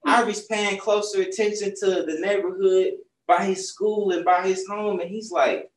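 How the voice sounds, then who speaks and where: A young man chats casually with kids about the picture book he wrote, his words a little softened by a face mask. His voice sits a bit high for a man. The sound reaches the camera from some distance in a roomy bookstore. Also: moderate pace. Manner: relaxed, conversational, speaks through a face mask. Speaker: children's book author presenting his book. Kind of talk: casual storytime talk to kids. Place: bookstore, seated on a stool among shelves. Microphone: far camera.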